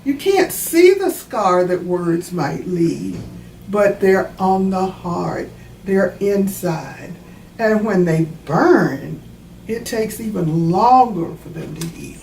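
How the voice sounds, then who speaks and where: A woman reads and explains a storybook for children in a teacherly manner. Her pace is slow and deliberate, and the recording is roomy and a little distant, with faint noise behind her. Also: fairly low pitch, expressive, gravelly, darkish tone. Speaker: author reading own book.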